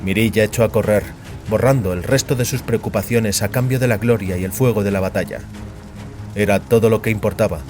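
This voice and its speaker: Deep-ish guy reading a dramatic sci-fi story, solemn and measured, music underneath. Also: a little mellow. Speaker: narrator. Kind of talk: dramatic scripted fiction narration.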